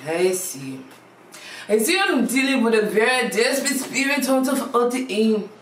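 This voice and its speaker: Echoey, high-voiced brash psychic hotline woman acted by a woman. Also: sing-song, moderate pace, a little hiss or hum. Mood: mystical, businesslike. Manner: theatrical, bossy, insistent, repeats phrases for emphasis. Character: brash, money-hungry Haitian woman TV psychic hotline advisor. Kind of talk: comedy skit of infomercial and call-in psychic show. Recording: echoey room, distant mic, music behind.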